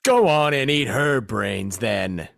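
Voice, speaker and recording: A man's voice: mid-range voice, expressive, slow pace, vocal fry, a bit bright, no room sound, clean studio sound, exaggerated voice. A man playing a dim, easily offended, hapless rescuer in a comic audio play, hesitant and exasperated. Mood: flustered.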